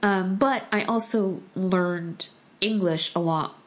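A woman from the United States whose first language was Korean, talking in a relaxed conversation, thoughtful and full of small restarts and fillers. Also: medium pitch, takes it slowly. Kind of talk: casual conversation.

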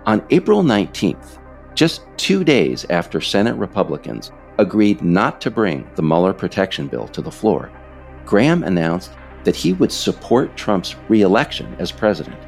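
A man narrates a scripted political account in a very low voice with a creaky edge. His delivery is deliberate and lively in intonation, pausing for emphasis, over a faint bed of music. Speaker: author and podcast narrator.

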